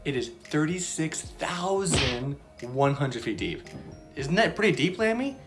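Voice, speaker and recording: A woman's voice: low-pitched, very animated, a little slow. Bubbly woman hosting a kids' lesson. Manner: bubbly, chatty, many fillers and laughs. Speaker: children's Bible lesson host. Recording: soft background music.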